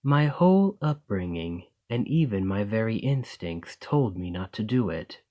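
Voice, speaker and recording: A gracious lamia noble merchant, played by a man, talking softly and formally to his servant. His voice sits low and he takes his time, unhurried and calm. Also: muffled recording. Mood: reminiscing. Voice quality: soft, measured and formal. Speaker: boss speaking to the listener. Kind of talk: ASMR roleplay.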